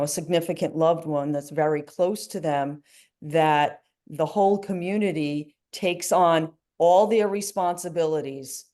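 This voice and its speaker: A woman's voice: deep voice, mellow. A woman guest speaking as a grief coach, answering earnestly and reflectively, with frequent "you know"s. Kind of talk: interview answers.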